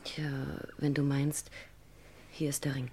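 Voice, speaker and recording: Husky, pleading woman in love. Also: very deep voice, level tone, a little slow, warm tone, full character voice. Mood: anxious, pleading. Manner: hesitant, pleading. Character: devoted, anxious woman in love, hesitant and pleading. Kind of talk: old-style German crime radio play acting.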